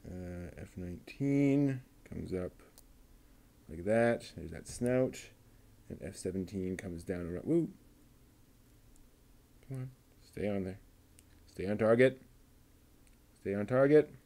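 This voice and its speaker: A man's voice: fairly low pitch, lively intonation, takes it slowly, pauses often, dark tone. A man building a model kit on a live stream, muttering to himself and chatting casually with viewers as he works.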